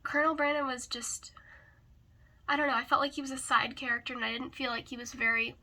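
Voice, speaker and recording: A woman who is hoarse and raspy from a cold gives a casual book review, often hesitantly searching for words. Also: high-pitched, level tone, quick pace, pushed and bright.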